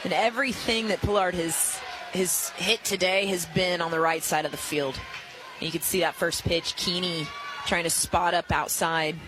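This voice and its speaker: An American woman working as color analyst, explaining softball play in an informal, conversational way. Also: on the low side, steady tone, normal speed, a crowd in the background, background noise.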